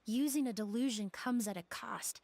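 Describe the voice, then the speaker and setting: An actress voicing a composed, thoughtful young woman in a video game, formal and measured. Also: on the high side, vocal fry, a little mellow. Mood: solemn.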